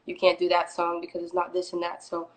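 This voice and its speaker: A woman in her twenties from Florida, a singer answering interview questions in a relaxed, chatty way with many fillers. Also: on the low side, fast talker, rough recording. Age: 20s. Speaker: guest, singer. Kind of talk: casual interview.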